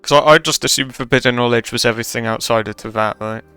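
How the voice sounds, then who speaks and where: A woman's voice: very deep voice, fast, fairly bright tone, clean tone, faint music behind. A woman streamer giving casual, off-the-cuff commentary while playing a game. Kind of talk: casual live gameplay commentary.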